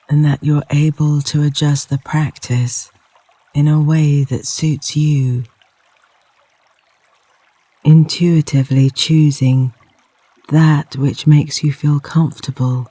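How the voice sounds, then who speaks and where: A man leading a guided yoga nidra meditation in a gentle, soothing, unhurried manner with long pauses between phrases. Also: fairly high pitch, no echo. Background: flowing river water sounds.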